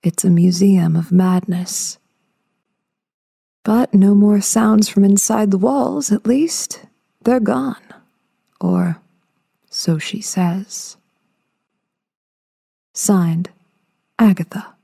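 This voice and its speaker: Eerie letter writer, extremely slow, dark husky tone, deliberate, audio drama. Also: female voice, medium pitch, dry recording, clean studio sound, full character voice. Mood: eerie, haunted. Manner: slow, deliberate, haunted, dropping into whispers. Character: female letter writer describing madness, eerie and unsettled.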